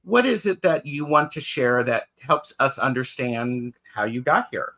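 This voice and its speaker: A male host leads a relaxed chat and keeps nodding his guest along with steady affirmations. His voice is bright and forward, at an ordinary middle pitch. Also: unhurried. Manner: encouraging, frequent affirmations. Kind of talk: casual interview chat.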